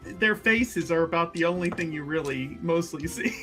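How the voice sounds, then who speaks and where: A woman's voice: on the low side, normal speed, music in the background. A woman joking casually as a player at a tabletop game, with a giggly, jokey way of talking.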